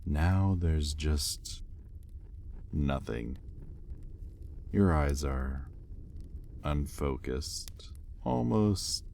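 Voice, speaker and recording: Man playing a very deep, slow, imperious demon. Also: darkish tone, slight background noise, heavily acted. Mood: cool, probing. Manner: slow, deliberate, menacing drawl. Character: imperious male demon buyer, sardonic and exasperated. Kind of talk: intimate audio roleplay acting.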